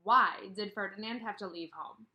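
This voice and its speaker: A woman in her thirties teaching and reading aloud to kindergarteners, expressive, with questions and emphatic pauses. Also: mid-range voice. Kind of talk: instructional read-aloud for young children. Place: indoors, room with a brick wall.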